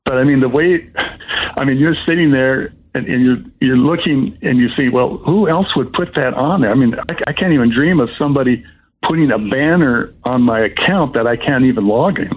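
An older man, sixties or above, giving an unscripted, rambling personal account as a caller, in a halting way. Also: mid-range voice, phone-quality sound, a little hiss or hum. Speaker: caller telling of being scammed.

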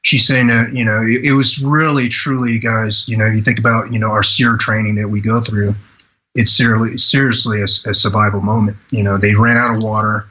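A man in his forties from Vietnam, a podcast guest telling his life story in an earnest, halting way with many restarts. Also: fairly low pitch, level tone, pushed and bright, dull audio. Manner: earnest, halting delivery with frequent "you know" restarts. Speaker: podcast guest, retired Green Beret. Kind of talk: personal storytelling in a podcast interview.

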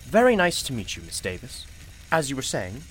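A man's voice: medium pitch, wildly swooping pitch, a little fast, a bit bright, slightly rough, full character voice, low-quality recording. A man playing a genial, mysterious old-fashioned shopkeeper in a radio play, with formal, courtly and unflappable phrasing. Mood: courteous.

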